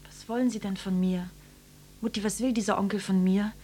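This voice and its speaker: A woman's voice: mid-range voice, fairly even intonation, brisk, warm tone, natural voice. An actress playing a cheeky, defiant, precocious little girl in a radio drama. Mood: evasive.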